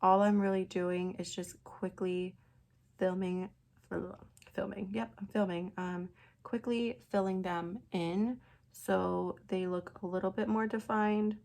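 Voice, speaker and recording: Raspy young woman chatting through a tutorial. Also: mid-range voice, level tone, takes it slowly, a little mellow. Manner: chatty, rambling with many fillers. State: raspy from a sinus infection. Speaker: beauty vlogger. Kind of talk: casual makeup tutorial.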